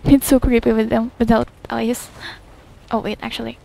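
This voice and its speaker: A young woman reacting casually to a video game, with murmured asides and expressive exclamations. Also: fairly high pitch, normal speed, gravelly. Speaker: gaming video creator. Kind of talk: casual spoken reactions to gameplay. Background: faint game music.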